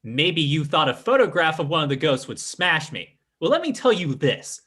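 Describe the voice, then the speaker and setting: An actor playing a scheming, defiant fake psychic in a live old-time radio drama, with a theatrical, formal delivery. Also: male voice, a little high, big pitch swings, moderate pace, fairly bright tone, husky, exaggerated voice. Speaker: stage actor in radio play.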